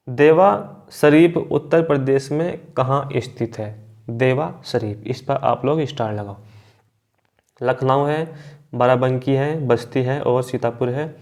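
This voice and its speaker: A man's voice: mid-range voice, a little mellow. A man teaching an exam quiz lesson, reading out questions and options, repeating answers and addressing his students directly.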